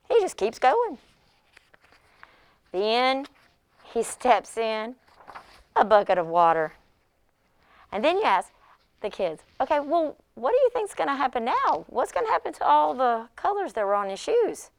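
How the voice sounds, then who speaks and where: A woman who teaches pre-K, demonstrating an interactive read-aloud in an expressive manner, as if speaking to young children. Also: on the high side.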